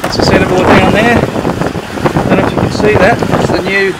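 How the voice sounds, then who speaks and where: Older man, casual self-shot boat video, slightly high voice, heavy wind noise outdoors. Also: normal speed. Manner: relaxed, rambling, self-deprecating, hesitant fillers. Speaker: boat builder filming his sail. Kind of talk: casual self-filmed commentary. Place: on deck of a sailing catamaran, outdoors. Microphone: handheld camera mic. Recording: loud wind noise on camera mic.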